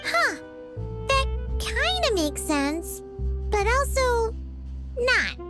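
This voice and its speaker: A woman voicing a tiny, excitable floating fairy companion in a video game, with a squeaky childlike voice and a bubbly manner. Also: drawn-out words, heavily acted. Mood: excited, curious. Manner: bubbly, speaks of self in third person. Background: music.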